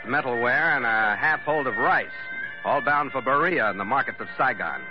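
A man acting a seasoned, firm ship's master who narrates his log in clipped, measured 1940s radio adventure style. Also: mid-range voice, close to an everyday voice. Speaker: lead actor and narrator. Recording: muffled old radio broadcast with music.

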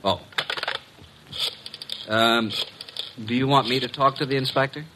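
An actor playing an eager, wisecracking detective's assistant in an old radio drama, plain-spoken and brisk. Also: male voice, mid-range voice, steady tone, background noise, close to an everyday voice. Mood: wry, obliging. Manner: brisk, plain-spoken, deferential with wry cheek. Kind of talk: 1940s-1950s radio detective acting.

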